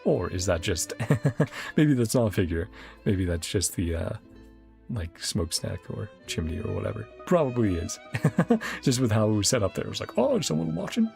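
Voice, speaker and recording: A man gives casual commentary over a video game, thinking out loud as he plays. His voice is on the low side and swings widely in pitch, with creaky edges. Game music plays behind him. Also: sing-song, normal speed. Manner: chuckles often, thinks aloud. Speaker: game streamer. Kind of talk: casual let's play commentary, reading game text aloud.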